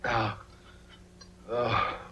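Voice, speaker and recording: An actor playing a cantankerous, domineering old rich grandfather with a creaky voice, in 1940s film style with an American accent. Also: drawn-out words. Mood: grumbling. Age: older. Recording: dull, noisy 1940s film soundtrack with hum.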